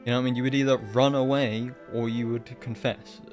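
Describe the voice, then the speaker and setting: A man's voice: mid-range voice, level tone, fairly quick, faint music behind. A man giving casual live reaction commentary as a game streamer, blunt and sarcastic.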